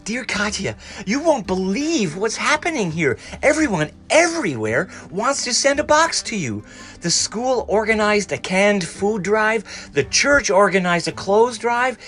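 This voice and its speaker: Eager, kind-hearted girl letter-writer, storybook, raised pitch performed by a man. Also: a little slow, soft background music, full character voice. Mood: excited, earnest. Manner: bright, excited, earnest. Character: eager, generous American schoolgirl writing a letter.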